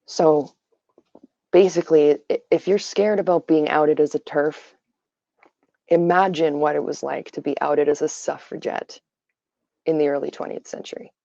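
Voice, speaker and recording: Woman, raspy and tired, gravelly, dark voice, dull lo-fi stream audio. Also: deep voice, fairly even intonation, moderate pace, long pauses, no echo. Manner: opinionated, many fillers. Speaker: livestream host. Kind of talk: casual livestream talk.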